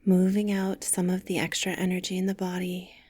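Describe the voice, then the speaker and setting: A woman leading a guided meditation with soft, gentle, soothing instructions, breathy and often close to a whisper. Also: lowish voice, completely flat delivery, a little fast, no echo.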